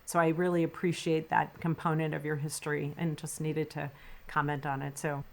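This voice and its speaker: A middle-aged woman hosting a podcast, her voice sitting a little on the low side. She chats in an affirming, conversational way, with the odd filler and restart. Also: flat delivery, normal speed, creaky, a little mellow. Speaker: podcast host. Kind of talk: podcast interview.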